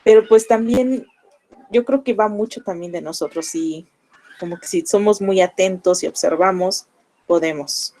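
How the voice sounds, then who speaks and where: A young Mexican woman with a Mexican Spanish accent, explaining to her students in a relaxed classroom manner full of fillers. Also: medium pitch, moderate pace, poor audio. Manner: conversational, explanatory, with frequent fillers. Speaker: language teacher. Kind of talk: online class lecture.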